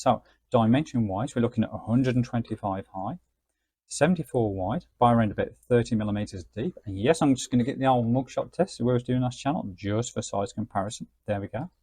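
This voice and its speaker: Male reviewer, mid-pitched with a slightly rough grain, chatty and explanatory, very dry sound. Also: fast, mellow. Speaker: radio gadget reviewer, host. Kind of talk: casual product review narration.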